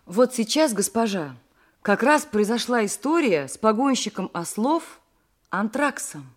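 A woman's voice: on the high side, sing-song, unhurried. An actress playing an obedient, deferential maidservant of an ancient Greek lady in a theatrical audio drama. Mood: deferential, eager.

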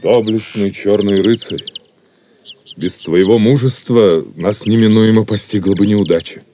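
An actor playing a wry, commanding outlaw leader who speaks with mocking courtesy in an audio drama. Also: male voice, on the low side, measured pace, warm tone, slight background noise, lightly acted. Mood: solemn, friendly. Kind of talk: Russian audio drama acting.

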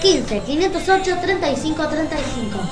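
High-voiced Argentine woman, echoey radio. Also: lively intonation, brisk. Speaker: radio host. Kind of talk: informal live radio presenting. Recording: echoey room, music behind. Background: music.

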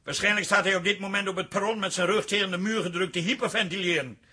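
A man's voice: high voice, steady tone, quick pace, pushed and bright, breathy edge, heavily acted. An actor playing an exasperated, sarcastic city man in a Dutch radio drama. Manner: sardonic.

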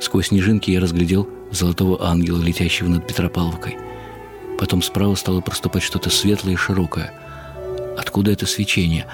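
Mature male narrator for literary radio drama: very low voice, reflective, expressive delivery over music. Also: brisk.

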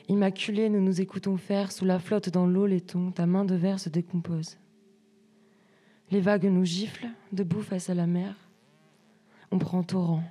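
A young woman reading poetry as spoken word in measured, short separated phrases. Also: fairly low pitch, mellow. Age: young adult. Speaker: student writer reading collective texts.

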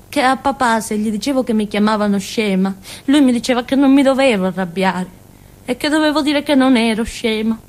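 An actress playing a naive, childlike village simpleton girl with a Calabrian accent, in a halting, repetitive theatre monologue. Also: a little high, a little mellow, close to an everyday voice. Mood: wistful. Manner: simple, repetitive, halting, with questioning tags and giggles. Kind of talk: theatrical monologue in colloquial dialect-tinged Italian.

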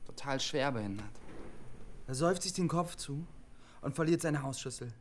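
A man's voice: mid-range voice, lively intonation, moderate pace, a little hiss or hum, lightly acted. An actor playing a defiant, lonely young man telling invented stories in short, matter-of-fact statements in a radio drama. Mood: matter-of-fact, insistent. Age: young adult. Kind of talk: naturalistic German radio drama acting.

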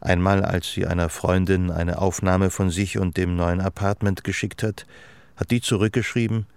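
Sober radio play narrator guy, gravelly low voice, melancholy love story. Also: flat delivery, moderate pace, dry recording. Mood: observant, sober.

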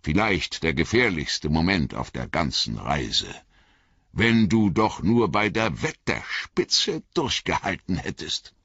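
A man puts on an old wizard, grave and gentle, in a storybook reading. The voice is deep, husky and unhurried, yet it rises and falls widely. The recording sounds a little dull and lo-fi. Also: sing-song, no echo, heavily acted. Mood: grave, kindly.

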